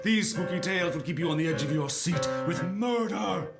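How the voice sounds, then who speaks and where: A man's voice: high voice, normal speed, gravelly. A man playing a lurid horror promo announcer, melodramatic and punchy, in the style of an old-time radio trailer. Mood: lurid, dramatic. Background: loud guitar music.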